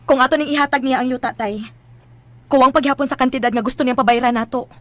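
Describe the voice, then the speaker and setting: An actress playing a feisty, self-sacrificing young daughter in a Filipino radio drama, resolute and earnest. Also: female voice, high-pitched, steady tone, fast, fairly bright tone, no echo. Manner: resolute, earnest, a little sassy. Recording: music bed behind.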